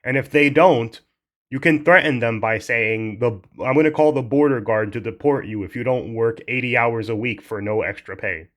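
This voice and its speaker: A man's voice: medium pitch, normal speed. A young man commenting in a casual, heated rant, blunt and swearing freely, often restarting his sentences.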